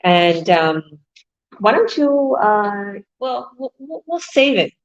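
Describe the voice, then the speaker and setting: A woman's voice: medium pitch, big pitch swings, slow pace, muffled recording. A woman hosting an online business talk show, chatting in an upbeat way with fillers. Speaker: host and business coach.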